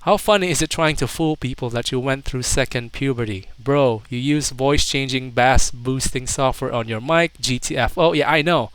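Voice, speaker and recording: A man's voice: mid-range voice, normal speed, hardly any pauses, a bit bright, clean tone, dry recording, poor audio. A young adult man casually reading out internet comments as a commentator, with mocking, incredulous, slangy asides. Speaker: video commentator.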